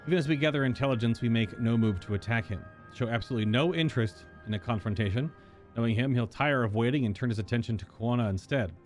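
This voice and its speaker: Game role: determined young royal, plain-spoken and earnest, read by a man at mid pitch, music underneath. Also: fast, lightly acted. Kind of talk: acted reading of video game dialogue.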